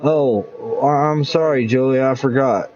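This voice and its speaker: Man doing awkward small-town dad, halting, mellow, lo-fi. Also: mid-range voice, distant mic, natural voice. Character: awkward middle-aged small-town father. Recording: dull lo-fi recording.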